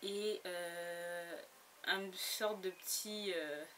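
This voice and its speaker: A woman's voice: lowish voice, steady tone, drawn-out words, a bit bright. A woman chatting casually about her latest book haul, giggly and full of fillers and restarts. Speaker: book blogger. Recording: noisy home recording.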